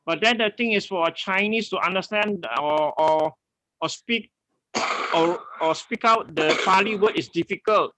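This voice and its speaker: An older man asking his teacher a question on a video call, speaking carefully and haltingly with some coughs. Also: high-pitched, sharp tone, rough recording. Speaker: student.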